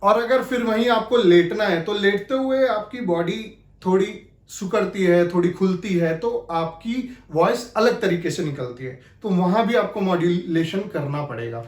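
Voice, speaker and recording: A man's voice: high-pitched, a little slow, few pauses, roomy sound. A man giving an instructional talk as an acting coach, explaining emphatically. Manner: explanatory, emphatic, repeats key phrases to demonstrate.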